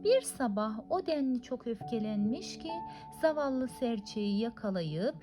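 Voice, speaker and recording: A woman reads a children's fairy tale in a high-pitched voice. Her intonation is very animated, rising and falling widely as a storyteller. Music plays clearly behind her narration. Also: measured pace. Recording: music under the voice. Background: clearly audible music.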